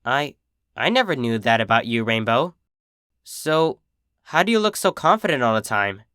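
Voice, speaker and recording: A man's voice: mid-range voice, big pitch swings, normal speed, bright, forceful tone, clear, resonant tone, dry recording, studio quality. A man voicing a young female pony, an insecure and adoring filly with an earnest, childlike, pleading manner. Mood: unsure.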